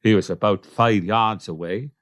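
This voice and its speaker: Awkward Welsh farmer, played by a man, low and plain-spoken, dryly recorded radio comedy. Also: moderate pace, fairly bright tone, no echo, clean studio sound, close to an everyday voice. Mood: hesitant, subdued. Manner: hesitant, matter-of-fact. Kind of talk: comic radio play acting.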